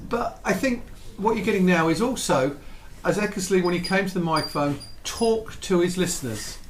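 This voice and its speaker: A man's voice: sits high, lively intonation, normal speed. A man telling a history story with enthusiasm, tired and losing his voice. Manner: warm, enthusiastic, with many restarts. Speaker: radio history presenter. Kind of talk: storytelling talk. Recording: echoey room with faint ambient noise.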